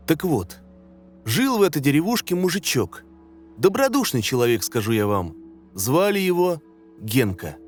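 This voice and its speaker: A man reading a scary story as a dramatic scripted narration, in a measured, suspenseful way. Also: medium pitch. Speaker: narrator of horror stories. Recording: music under the voice. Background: background music.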